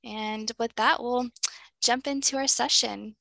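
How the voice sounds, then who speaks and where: Bright, higher-voiced young woman presenting. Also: steady tone, a little slow, gravelly, no echo. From Los Angeles, California. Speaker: presenter, admissions counselor. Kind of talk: informal conference introduction.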